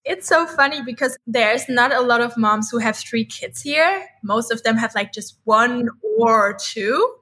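A woman's voice: a little high, lively intonation, unhurried, hardly any pauses, sharp tone. A woman in her thirties whose first language is Dutch, chatting as an interview guest in a rambling way, full of "like" fillers. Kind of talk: casual interview chat.

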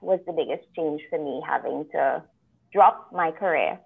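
A woman from Trinidad, a lawyer guest telling her story in a measured, reflective way over a remote call. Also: deep voice, vocal fry, slightly rough. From Trinidad and Tobago. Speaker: guest, attorney. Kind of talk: conversational interview. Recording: tinny phone-like call line.

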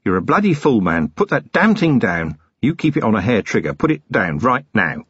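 A man voicing a gruff, exasperated senior police commander who speaks forcefully and bluntly in an audiobook story. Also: fairly low pitch, big pitch swings, moderate pace, dry recording, dull audio, full character voice. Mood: furious. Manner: forceful, sweary, lecturing.

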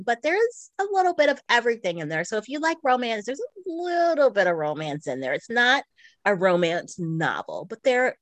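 A woman's voice: medium pitch, a bit bright, no echo. A woman chatting casually and enthusiastically as a podcast co-host, recommending things she enjoys. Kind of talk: casual chat, recommending books.

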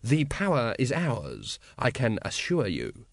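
A man voicing a smooth, commanding, scheming cult grand master with falsely reassuring manner in a storybook. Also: medium pitch, huge pitch swings, slow pace, dry recording, clean studio sound, heavily acted. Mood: smooth, assured.

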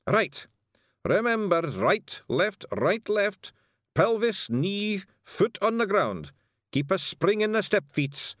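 A man voicing a small rowdy male Feegle chief with a gruff Scottish accent, barking orders. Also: high voice, big pitch swings, takes it slowly, fairly bright tone, no room sound, studio quality, voice pushed up, heavily acted. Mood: commanding.

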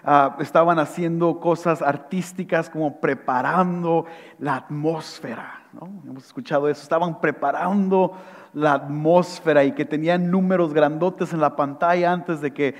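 Guy preaching, high voice, goes loud then real soft, long echo in a church hall. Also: moderate pace. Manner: swings from loud excited voices to soft hushed lines. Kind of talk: animated sermon with acted-out anecdotes.

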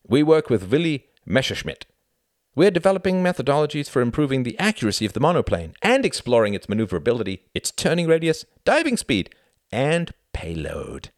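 Man putting on cocky young German pilot, pitched up. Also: wildly swooping pitch, brisk, no room sound, studio quality. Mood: proud. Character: boisterous, cocky young German male pilots, militaristic swagger.

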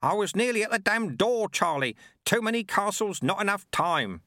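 A man's voice: high-pitched, lively intonation, moderate pace, bright, forceful tone, no room sound, clean studio sound, voice pushed up. A man voicing an old male sweeper monk, blunt and folksy, who mutters and drops his grammar. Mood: urgent.

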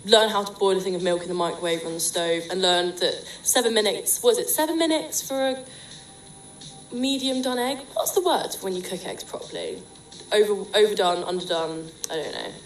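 A woman's voice: medium pitch, lively intonation, fairly quick, faint music behind, low-quality recording. Chatty young woman on student radio. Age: young adult. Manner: chatty, jokey, opinionated. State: croaky voice. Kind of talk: casual radio chat.

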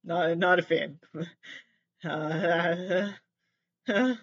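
A man giving casual comedic commentary as he reads messages aloud, halting and sweary, with exasperated asides. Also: high voice, steady tone, fairly bright tone, dull audio.

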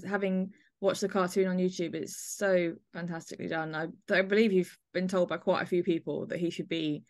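A woman's voice: lowish voice, steady tone, fairly quick, darkish tone, no room sound, clean studio sound. A woman hosting a conversational interview, speaking in an effusive, complimentary way. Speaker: podcast host interviewing a playwright.

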